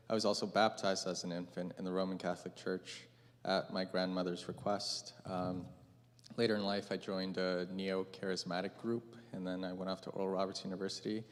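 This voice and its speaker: A man introducing himself in a class, orderly and steady. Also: low-pitched. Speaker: class participant, ordination candidate. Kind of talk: casual self-introduction. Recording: long church-hall reverb.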